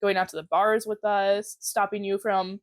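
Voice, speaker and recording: A woman's voice: medium pitch, little pitch movement, normal speed, no echo, clean studio sound. Chatty, giggly young woman podcaster. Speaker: podcast host. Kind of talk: casual chat.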